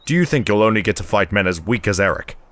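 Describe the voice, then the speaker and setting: A man's voice: on the low side, lively intonation, fast, a bit bright. An actor playing a stern, demanding Viking father in an audio drama, speaking in a blunt, reproachful, commanding way. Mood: stern, accusing.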